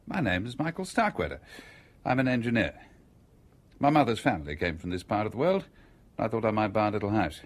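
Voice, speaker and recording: An actor with a British accent playing an inquisitive, composed engineer in a radio drama, urbane and conversational. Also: male voice, on the low side, big pitch swings, fairly quick. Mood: matter-of-fact. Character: inquisitive, coolly composed stranger, a male engineer.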